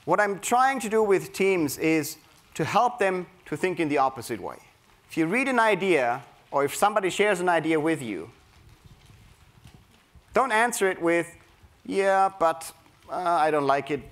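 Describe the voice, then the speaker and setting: A man's voice: a little high, lively intonation, normal speed, fairly bright tone. A man from Germany with a German accent, speaking to a live audience and coaching them through a creativity exercise. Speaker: workshop speaker. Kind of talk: interactive keynote talk.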